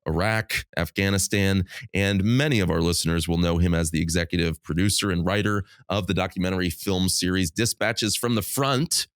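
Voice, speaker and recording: A male podcast host, getting over a cold that drops his voice lower than usual, giving an upbeat scripted welcome and interview intro. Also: moderate pace, hardly any pauses, no echo, studio quality. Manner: upbeat, promotional, warmly welcoming.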